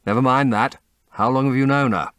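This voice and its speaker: A man's voice: mid-range voice, fairly quick, fairly bright tone, smooth, no echo, studio quality, natural voice. A man voicing a cynical, urbane older English aristocrat with a drawling, epigrammatic way of talking, in an audiobook. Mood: brisk, curious. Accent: upper-class English. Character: witty, cynical, languid older aristocratic gentleman. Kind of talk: audiobook character reading.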